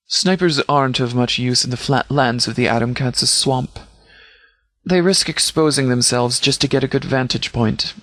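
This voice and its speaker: A man acting a stern, authoritative sheriff in a video game, speaking in a formal, measured, commanding way. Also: medium pitch, a bit bright, no room sound, close to an everyday voice. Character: stern, authoritative male sheriff of a wasteland militia. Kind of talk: scripted video game character dialogue.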